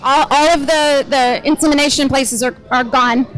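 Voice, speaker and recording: A woman's voice: high voice, steady tone, normal speed, fairly bright tone, faint music behind, poor audio. A woman hosting and doing interviews in a chatty, playful way. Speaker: host and interviewer.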